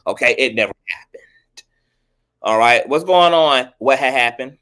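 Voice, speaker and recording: A man's voice: mid-range voice, lively intonation, unhurried, fairly bright tone. A man hosting a casual livestream chat, sassy and chatty, calling everyone girl and honey. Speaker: host of a commentary livestream.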